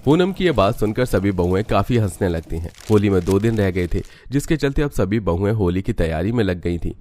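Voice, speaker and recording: A man's voice: lowish voice, lively intonation, brisk, darkish tone, a little hiss or hum. A man reading scripted storytelling narration for a cartoon tale.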